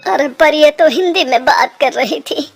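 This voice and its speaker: A woman's voice: sits high, normal speed, vocal fry, soft background music. A woman voicing a distressed husband and father in a cartoon story, appealing urgently for help. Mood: surprised.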